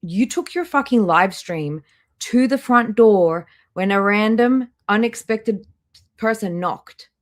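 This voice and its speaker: A woman's voice: medium pitch, animated, slow pace. A woman hosting a live stream with casual, blunt, critical reaction commentary and some swearing.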